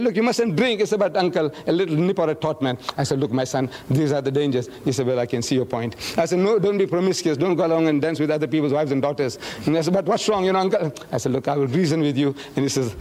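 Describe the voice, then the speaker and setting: Older man, high-pitched, chatty, acts out conversations in his stories. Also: darkish tone. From South Africa. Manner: chatty storyteller acting out dialogue, chuckling. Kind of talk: anecdotal talk to a group.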